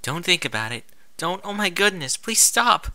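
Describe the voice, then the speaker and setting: Man putting on a high, frantic unicorn mare. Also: sing-song, normal speed, sharp tone, heavily acted. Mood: panicked. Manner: frantic, pleading. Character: agitated, panicking female cartoon unicorn pony.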